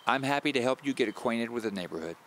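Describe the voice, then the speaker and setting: A man's voice: mid-range voice, expressive, fast talker, a bit bright, slight background noise, voice pushed up, close to an everyday voice. A man voicing a kindly, grandmotherly widow with a folksy, teasing, chatty manner in an audio drama. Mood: warm, eager.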